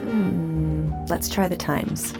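A woman's voice: low-pitched, extremely slow, loud music behind the voice, heavily acted. An actress playing an attentive, flirtatious female AI companion assistant in an audio drama. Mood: smooth, helpful.

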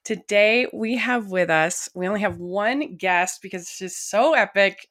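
A woman's voice: medium pitch, measured pace, a bit bright. A woman hosting a film critics podcast, chatting eagerly with her guest and rambling through her stories with many restarts. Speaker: podcast host. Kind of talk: casual interview chat.

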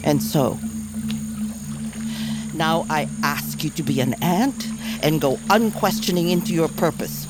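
Grave old bear grandmother, deep-toned. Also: lively intonation, over loud music. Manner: solemn, measured, oracular. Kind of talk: audio drama acting.

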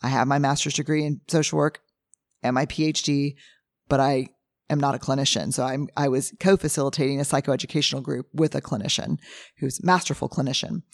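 A hoarse middle-aged woman, hosting a podcast and telling stories candidly, acting out what people say. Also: very deep voice, brisk, dry recording, clean studio sound. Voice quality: husky. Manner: candid, animated storytelling with acted-out quotes. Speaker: podcast host. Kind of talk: casual solo talk.

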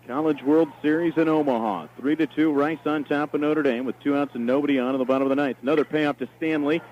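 A man's voice: a little high, steady tone, quick pace. Muffled, noisy American baseball announcer, male. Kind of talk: live sports commentary. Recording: dull, noisy archive game broadcast. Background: stadium ambience and noise.

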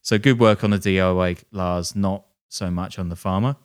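A man's voice: low-pitched, fairly even intonation, moderate pace, clear, resonant tone. A man hosting a podcast, telling a crime story casually with jokey, sardonic asides. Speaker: podcast host.

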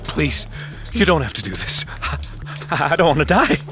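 A man's voice: sits low, big pitch swings, a little slow, exaggerated voice. An actor playing a terrified, wired captive who narrates his ordeal in clipped inner monologue for an audio drama. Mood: desperate pleading. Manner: clipped present-tense inner monologue, swearing. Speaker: narrator and lead character. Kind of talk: first-person scripted audio drama narration. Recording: loud music bed under the voice. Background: dramatic music.

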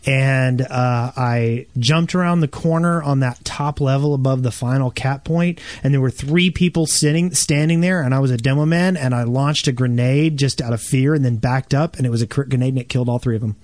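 A man's voice: medium pitch, fairly quick, hardly any pauses, darkish tone, dry recording. A man co-hosting a podcast, chatting casually and telling anecdotes. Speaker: podcast co-host.

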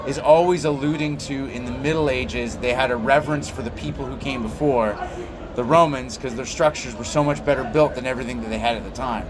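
A man from Belgium, a comic writer, answering interview questions in a relaxed, storytelling way with lots of "like"s. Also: mid-range voice.

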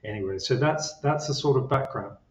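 A man's voice: medium pitch, fairly quick. A man who grew up in Switzerland, a psychotherapist guest, speaking hesitantly with many fillers and restarts. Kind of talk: interview conversation. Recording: dull lo-fi roomy sound.

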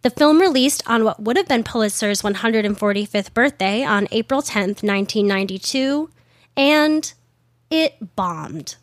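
A woman's voice: on the high side, animated, normal speed, dry recording, clean studio sound. A woman hosting a casual history podcast, chatty with wry asides, her voice slightly worn from being a little sick. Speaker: podcast host.

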